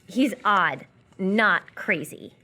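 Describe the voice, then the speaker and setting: A woman's voice: medium pitch, drawn-out words, bright, forceful tone, exaggerated voice. An actress playing a lonely, guarded young woman with a dry, blunt, wounded way of talking in live radio theatre.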